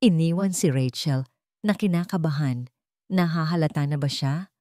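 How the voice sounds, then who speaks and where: Female storyteller, lower register, dry close recording, scripted romantic drama narration. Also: normal speed, a little mellow, dry recording.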